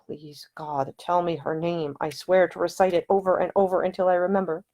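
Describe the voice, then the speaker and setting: Woman playing a wry, moody gentleman. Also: on the low side, flat delivery, brisk, lightly acted. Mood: pleading.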